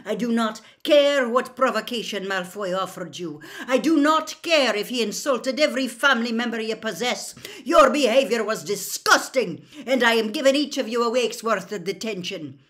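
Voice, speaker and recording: A woman's voice: medium pitch, big pitch swings, unhurried. A woman voicing a stern, livid older female professor in an audiobook, scolding in a clipped, indignant way. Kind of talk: audiobook character acting.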